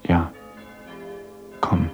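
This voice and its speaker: An actor playing an idealistic young village teacher in a radio play, earnest and lecturing, then soft-spoken. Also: male voice, extremely slow, dull audio, soft background music. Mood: gentle. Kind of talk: radio drama acting.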